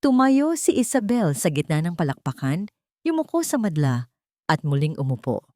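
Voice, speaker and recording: Female Filipino storyteller for scripted drama: slightly low register, animated intonation. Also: normal speed, dry recording, studio quality.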